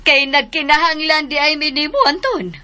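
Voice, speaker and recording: A woman's voice: sits high, normal speed, sharp tone. A woman with a southern Philippine accent playing a stern, exasperated mother, reproachful and commanding, in a radio drama. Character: stern, exasperated widowed mother and household mistress.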